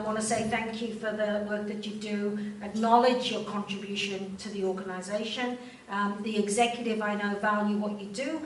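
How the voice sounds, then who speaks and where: A woman's voice: medium pitch, slight background noise. Welsh woman speaking in an echoey hall. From Wales. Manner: warm, informal speaking with many ums and direct questions to the audience. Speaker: chief executive giving a speech. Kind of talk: speech to an audience at an event. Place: large room at a volunteer event. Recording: echoey room, voice from far off.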